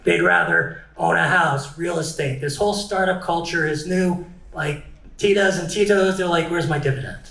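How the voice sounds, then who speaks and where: Gravelly man presenting stats, echoey room. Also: on the high side, moderate pace, sharp tone. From United States. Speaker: panel presenter. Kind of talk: presenting slides to an audience.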